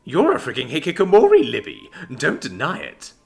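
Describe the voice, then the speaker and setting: A man voicing Max, a brash, impulsive young man with an axe who talks loud and eager. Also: on the high side, wildly swooping pitch, moderate pace, creaky, soft background music, pitched above the performer's own voice, full character voice. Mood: pushy. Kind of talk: audiobook character voice.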